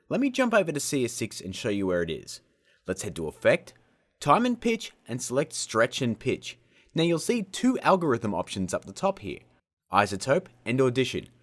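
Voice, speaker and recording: A man hosting a casual software tutorial in a cheeky, self-mocking way, with jokey asides. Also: on the high side. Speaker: host of a video-editing tutorial show. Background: music.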